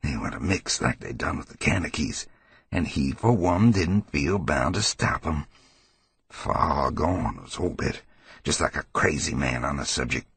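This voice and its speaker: A man voicing a hysterical, frightened old New Englander with a rasping voice and rambling rural dialect, in an audiobook horror story. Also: very deep voice, animated, a little slow, a bit bright, no room sound, full character voice. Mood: grim, secretive. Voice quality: gravelly, husky old man's rasp. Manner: rambling folksy dialect, cackling and fearful. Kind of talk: dramatized audiobook character voice.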